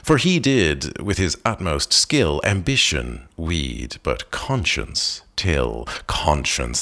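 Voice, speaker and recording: A man giving a slow, expressive reading of a long poem, with measured phrasing and an occasional restart. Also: sits low. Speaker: poetry reader. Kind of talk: slow dramatic poetry reading.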